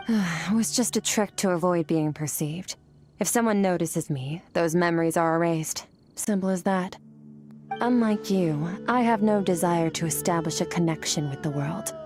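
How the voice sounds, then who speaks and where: A woman's voice: low voice, darkish tone. A woman voicing an aloof, cold young woman warrior who speaks in a cool, detached and clipped way. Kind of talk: game voice acting.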